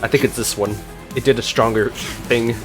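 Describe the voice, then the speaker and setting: A man's voice: mid-range voice, fairly even intonation, a little fast. A man playing a video game and reacting to it in casual commentary, with game music behind him. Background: game music and battle sounds.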